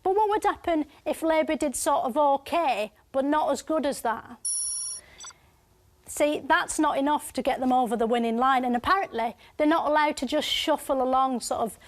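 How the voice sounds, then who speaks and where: An actress playing a dim, earnest female TV presenter who explains things in a muddled, deadpan way. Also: sits high, moderate pace, close to an everyday voice. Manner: deadpan, muddled, matter-of-fact. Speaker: comic presenter explaining election.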